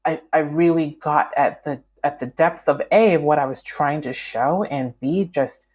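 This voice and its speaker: Canadian-raised woman guest, husky tone, reflective, rambling with fillers. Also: deep voice, a little slow, a little mellow. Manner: reflective, many fillers and restarts. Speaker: podcast guest, writer. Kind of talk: conversational interview.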